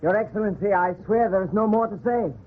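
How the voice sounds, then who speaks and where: An excitable colonial traveler acted by a man in an old radio play. The voice is high and earnest, in theatrical 1940s style. The recording is thin and tinny with a faint hiss. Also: fast, lightly acted. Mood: pleading.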